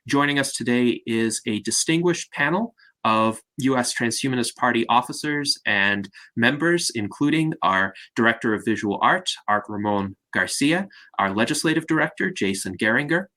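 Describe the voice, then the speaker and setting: A man hosting an online salon, introducing a panel in a formal, measured announcing manner. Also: mid-range voice, sharp tone. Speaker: host and moderator. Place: online video meeting.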